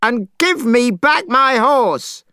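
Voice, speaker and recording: A man voicing an impatient, fierce monkey hero who shouts and scolds. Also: very high-pitched, expressive, slow pace, clear, resonant tone, dry recording, studio quality, voice pushed up, heavily acted. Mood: angry shouting. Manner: shouting, hectoring.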